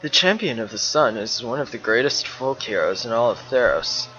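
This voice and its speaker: A man playing a calm, loyal lion-man warrior, speaking in measured, formal phrases in a fan-made fantasy drama. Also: medium pitch, dull audio, soft background music. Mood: measured, gently insistent. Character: calm, loyal male leonin planeswalker, steadfast friend. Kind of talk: dramatic dialogue in a fan-made motion comic.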